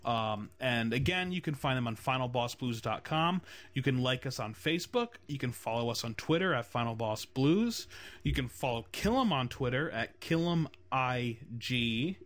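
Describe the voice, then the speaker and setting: A man's voice: mid-range voice, lively intonation, normal speed, a bit bright. A man hosting a casual game design podcast, talking in a loose, rambling way with many fillers. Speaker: podcast host.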